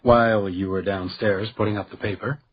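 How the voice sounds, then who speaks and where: An actor playing a shrewd, defiant house detective, speaking in a precise, dryly wry way in a radio crime drama. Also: male voice, fairly low pitch, steady tone, brisk, slightly rough, dull audio. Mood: reflective.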